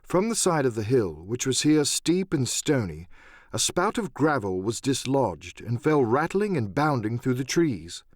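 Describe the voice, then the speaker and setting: A man giving dramatic, measured, theatrical narration of an adventure novel. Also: medium pitch, dry recording, studio quality. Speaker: audiobook narrator.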